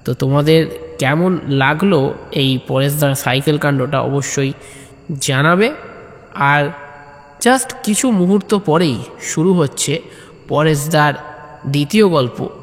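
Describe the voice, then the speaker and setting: A man's voice: a little high, slow, pauses often. A man narrating a comic audio story in a conversational way, addressing listeners directly. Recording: long reverb, music behind.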